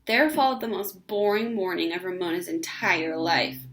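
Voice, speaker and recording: A woman narrating a children's story aloud in an expressive read-aloud style. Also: lowish voice, normal speed, slight background noise.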